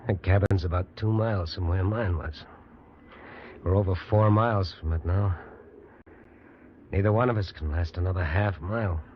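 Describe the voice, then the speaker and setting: A man's voice: low-pitched, moderate pace, long pauses, natural voice. An actor in an old-time radio drama playing a worn-out fugitive prisoner, plainspoken, wry and resigned to his fate. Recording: dull, noisy old radio recording.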